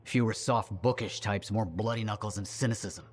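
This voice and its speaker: An actor voicing an earnest, bookish scholar with a scrappy streak and an articulate, formal manner in a video game. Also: male voice, fairly low pitch, steady tone, moderate pace, breathy edge. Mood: earnest, bitter. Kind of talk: video game dialogue acting.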